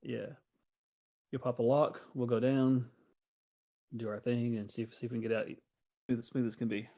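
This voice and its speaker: Hesitant man planning out loud. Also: medium pitch, steady tone, normal speed. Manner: hesitant, thinking out loud with many restarts. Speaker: player. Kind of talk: planning aloud in a tabletop game.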